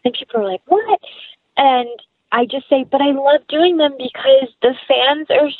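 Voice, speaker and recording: A woman's voice: sits high, moderate pace, no echo, narrow, tinny audio. A woman speaking as an actress being interviewed, chatting casually and animatedly with quoted asides, over a thin remote call.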